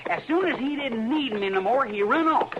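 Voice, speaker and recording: A simple, bitter young outlaw. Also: male voice, very high-pitched, animated, normal speed. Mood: plaintive. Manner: plain-spoken, ungrammatical country speech, earnest. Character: simple, hurt young outlaw man, bitter at his brother. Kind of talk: 1950s radio drama acting. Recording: old radio broadcast, dull and hissy.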